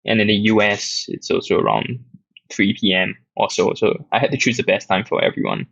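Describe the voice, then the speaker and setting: A young man with a Singaporean accent answers audience questions in a loose, rambling livestream, filling pauses with ums and uhs. His voice has a gravelly edge and sounds a little tired. The audio is dull and close, with no room echo. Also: lowish voice. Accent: Singaporean English. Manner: relaxed, rambling, reads questions aloud with many fillers. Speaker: app community host answering questions. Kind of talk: casual livestream Q&A.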